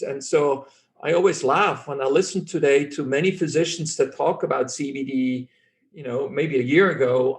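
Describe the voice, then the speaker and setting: Guest spot: middle-aged man, German native speaking English, slightly high voice, confident and earnest, restarts sentences. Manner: earnest, self-assured, restarts phrases often. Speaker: physician guest.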